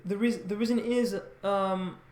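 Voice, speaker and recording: A man talks off the cuff to his viewers. He speaks haltingly, circling back and restarting his phrases as he rambles. The recording has a clear room echo around his voice. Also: sits high, steady tone, darkish tone. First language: French. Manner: halting, rambling, repeats words and corrects himself often. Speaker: vlogger, language learner. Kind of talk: casual unscripted monologue to viewers.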